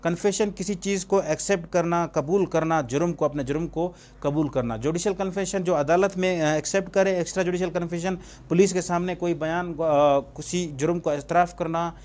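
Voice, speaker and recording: A man's voice: on the high side, steady tone, normal speed, few pauses, a little mellow. A man with a Pakistani accent gives an informal exam-prep lecture as a teacher, posing questions and answering them himself and mixing in English terms. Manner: switches between Urdu and English terms. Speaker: law teacher.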